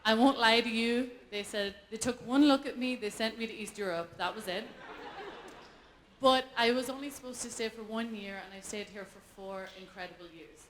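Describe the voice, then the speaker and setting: An Irish woman with a high, bright voice performs stand-up comedy on a live stage. She is animated and self-mocking, and she breaks into laughter often. A faint hiss runs under her voice. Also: normal speed, low-quality recording. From Ireland. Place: live event stage before an audience.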